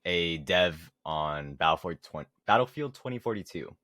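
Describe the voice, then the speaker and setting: A man's voice: sits low, expressive, measured pace, sharp tone, dry recording, studio quality. A man co-hosting a podcast, talking casually in an informal, slangy way. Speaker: podcast co-host. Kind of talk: casual gaming podcast chat.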